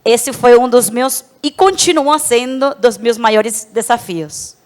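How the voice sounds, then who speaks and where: A woman's voice: on the high side, takes it slowly. Woman, Venezuelan accent, panelist, reflective, personal tone. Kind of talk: panel discussion answer.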